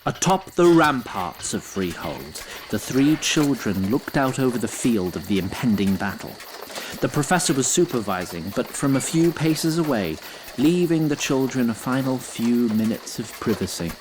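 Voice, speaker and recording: A man's voice: mid-range voice, very animated, moderate pace, a little hiss or hum, close to an everyday voice, rough recording. A man playing an ancient immortal storyteller, narrating a fantasy audio drama with theatrical, wry asides. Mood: measured.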